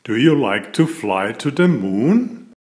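A man narrates a storybook for small children. His mid-pitched voice moves slowly, with lively rises and falls. He tells it deliberately and expressively, as an author sharing his own tale. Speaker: author reading his picture book aloud.

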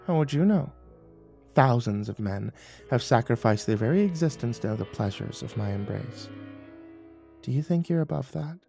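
An ancient succubus , acted by a male audiobook narrator, croons softly and slowly. She is seductive and predatory, with breathy, teasing scorn. Faint music plays behind her. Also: medium pitch, animated. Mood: haughty, teasing. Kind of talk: audiobook character voice.

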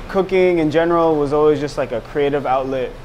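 A man's voice: on the high side, a little fast, darkish tone, a little hiss or hum. Laid-back man talking with lots of slang. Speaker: restaurant owner. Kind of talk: casual conversation. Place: small restaurant with a painted mural wall.

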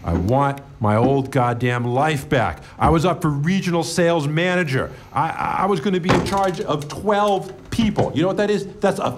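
A man voicing a bitter, gruff, middle-aged male zombie in an animated film, sullen and emphatic. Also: a little high, moderate pace, hardly any pauses, background noise, natural voice.

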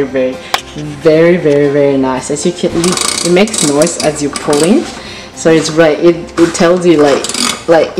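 A woman's voice: deep voice, background noise. A woman giving a casual home tour on a handheld phone, chatty and gushing, repeating words for emphasis. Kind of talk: casual house tour chat. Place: indoors, bright tiled home rooms. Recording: echoey home rooms with music behind. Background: background music.

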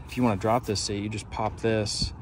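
A man talks through a DIY job in an easygoing, informal way. His voice sits fairly low and often drops into a gravelly creak. It is captured on a handheld phone inside a vehicle, with clearly audible noise around it. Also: level tone, a little fast. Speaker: DIY video presenter. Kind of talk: casual hands-on walkthrough. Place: inside a parked pickup truck. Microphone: handheld phone camera.

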